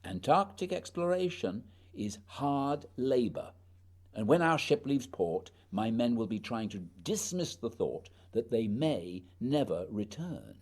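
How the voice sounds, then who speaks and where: An actor playing a dutiful, reserved male polar expedition leader, measured, grave and formal, in a radio play. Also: medium pitch, very animated, darkish tone. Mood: grave, resolute.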